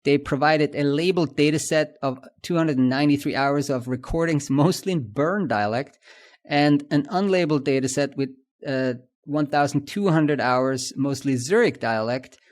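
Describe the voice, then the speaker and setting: A man's voice: on the high side, moderate pace, darkish tone. Swiss german accent guy co-hosting a podcast, casual, lots of ums and restarts. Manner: thinks aloud, many fillers and restarts. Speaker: podcast co-host. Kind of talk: casual industry chat.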